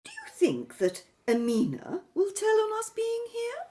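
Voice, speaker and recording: Woman to play brisk lady airship captain for radio play: very high, slow, theatrical delivery, inquisitive. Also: huge pitch swings, warm tone, exaggerated voice. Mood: eager. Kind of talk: audio drama acting.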